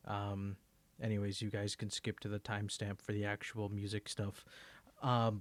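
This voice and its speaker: A man's voice: medium pitch, no room sound. A man chatting casually in a rambling, hesitant, self-deprecating way, as a creator introducing his own audio. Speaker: ASMR creator and amateur singer.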